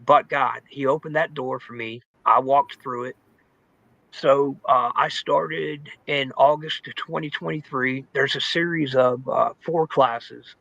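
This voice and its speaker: A man tells his story in a candid, informal way, like talking to friends. His voice is forward and bright with a creaky, gravelly grain. The sound is close and dry, if a little dull and lo-fi. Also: medium pitch, steady tone, a little slow, dry recording. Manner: informal, candid, peppered with "man", "dude" and "you know". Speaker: testimony guest in recovery. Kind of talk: personal testimony, conversational storytelling.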